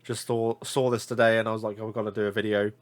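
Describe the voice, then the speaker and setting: A man's voice: on the low side, level tone, quick pace. Congested casual male vlogger. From United Kingdom. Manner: rambling, many fillers and self-corrections. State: congested, blocked nose. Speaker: figure collector reviewing deals. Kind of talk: casual screen-share commentary.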